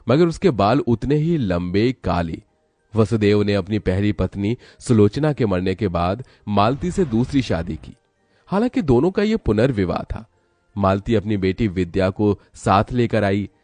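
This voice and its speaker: Low, mellow male storybook narrator. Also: animated, unhurried, no echo, faint music behind.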